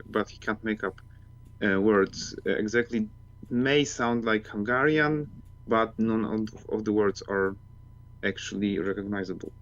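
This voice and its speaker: A man with a low voice speaks haltingly, often searching for his words. His voice comes through a dull, muffled line. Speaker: caller.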